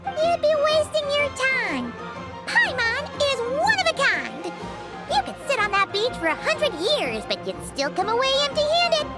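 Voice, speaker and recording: A tiny, excitable floating girl companion in a video game, squeaking at a very high pitch with wild swings of tone. She chatters about herself in the third person, with loud game music behind her. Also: unhurried, full character voice. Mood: smug.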